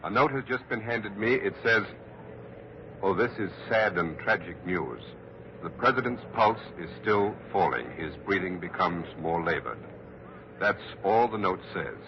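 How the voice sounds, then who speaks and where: An actor playing a grave, earnest news reporter in a dramatic, formal 1940s radio style. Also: male voice, deep voice, lively intonation, measured pace. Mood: solemn. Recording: muffled, noisy 1940s radio broadcast.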